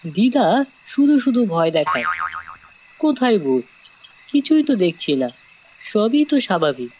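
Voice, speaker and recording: A woman's voice: mid-range voice, big pitch swings, normal speed, darkish tone, a little hiss or hum, close to an everyday voice. A woman playing a bold, curious village child in a cartoon, eager and scoffing at warnings. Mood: eager, searching. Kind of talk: animated moral-tale cartoon acting.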